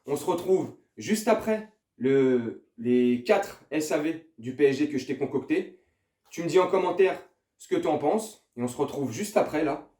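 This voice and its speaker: A man's voice: fairly high pitch, expressive, normal speed, mellow. Chatty young man, roomy sound. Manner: chatty, hesitant with fillers, addresses viewer directly. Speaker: YouTube host. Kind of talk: casual chat to camera.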